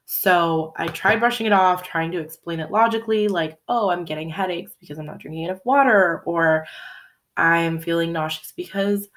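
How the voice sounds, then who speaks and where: A young woman, hoarse from a cold, chatting in a rambling, self-correcting way to a home webcam in her living room. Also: lowish voice, normal speed, fairly bright tone. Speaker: vlogger. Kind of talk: casual personal catch-up vlog. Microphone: webcam at desk.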